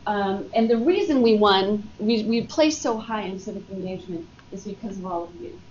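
A woman's voice: mid-range voice, expressive, normal speed, a little mellow, slight background noise. Upbeat woman hosting a work meeting. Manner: chatty and upbeat. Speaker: workshop host. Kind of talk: presenting at a workplace workshop. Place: meeting room. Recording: far-off roomy lo-fi meeting recording.

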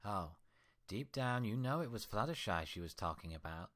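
A man's voice: lowish voice, animated, moderate pace, fairly bright tone. A man voicing the sarcastic, mocking mirror reflection of a female unicorn. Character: sneering mocking mirror twin of a unicorn mare.

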